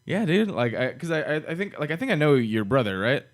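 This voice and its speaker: A man's voice: a little high, very animated, fairly quick. A man in his late twenties hosting a podcast, joking around and swearing casually in laid-back chat. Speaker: podcast host.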